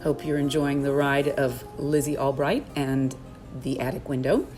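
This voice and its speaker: Low-voiced woman co-hosting over music. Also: normal speed, darkish tone. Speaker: author and co-host. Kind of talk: casual introductory commentary.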